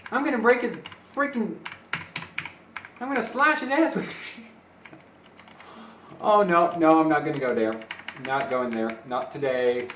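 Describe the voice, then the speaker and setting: A man's voice: fairly high pitch, a little slow, pauses often, fairly bright tone, far from the mic, slight background noise. A man who is not a native English speaker, rambling and joking on a live stream to his viewers. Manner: rambling, joking, talks to himself. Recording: echoey room, away from the mic, dull lo-fi sound.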